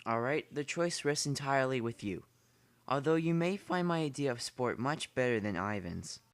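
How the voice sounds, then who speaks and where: A man's voice: medium pitch, animated, normal speed, no echo, natural voice. A man playing an urbane, smug aristocratic general in an amateur drama, speaking in a formal, genteel manner. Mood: suavely persuasive. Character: urbane aristocratic male general who hunts people for sport. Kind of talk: amateur short story dramatization.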